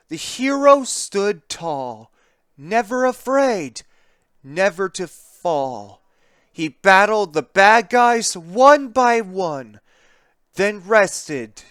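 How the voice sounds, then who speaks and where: A narrator reading a children's picture book aloud in an expressive, theatrical way, with deliberate emphasis on the rhymes. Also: mid-range voice, no echo, studio quality.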